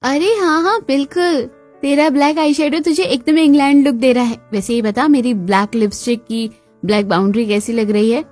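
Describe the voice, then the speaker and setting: A woman's voice: high-pitched, normal speed, darkish tone, faint music behind. A woman voicing a vain college-age sister-in-law in a cartoon, girlish and gushing.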